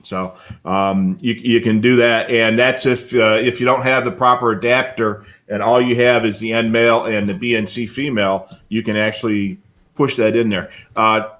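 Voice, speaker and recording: A man's voice: fairly low pitch, steady tone, moderate pace, fairly bright tone. A man co-hosting a live hobby show, casually explaining technical details in a plainspoken, practical way. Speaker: show host.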